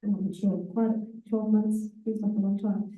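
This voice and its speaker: A woman, an executive officer, giving a relaxed conference presentation with fillers and self-corrections. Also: medium pitch, moderate pace, phone-quality sound. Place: large conference hall. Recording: echoey hall, voice far from the mic.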